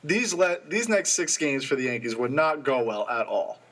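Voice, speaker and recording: A young man co-hosting a college sports radio show, reading off stats in casual, excitable talk. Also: mid-range voice. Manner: rattles off stats quickly, excitable.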